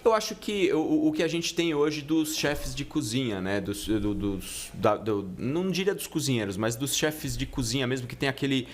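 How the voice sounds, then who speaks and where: A middle-aged man born in Italy, a guest pizza chef in a casual podcast chat, telling relaxed stories with slang and many restarts. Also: medium pitch, moderate pace, few pauses.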